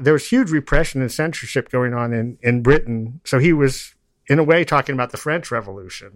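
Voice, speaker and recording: A man speaking as a podcast guest about poetry, with a halting, digressive delivery. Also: medium pitch, no room sound. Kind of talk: reciting poetry, then informal explanatory discussion.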